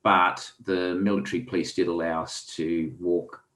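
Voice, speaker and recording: A man's voice: sits low, flat delivery, moderate pace, a bit bright. A man with an Australian accent, a podcast guest telling long rambling fishing stories with frequent ums. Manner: rambling anecdotal, many ums. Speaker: guest angler and author. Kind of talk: casual storytelling interview.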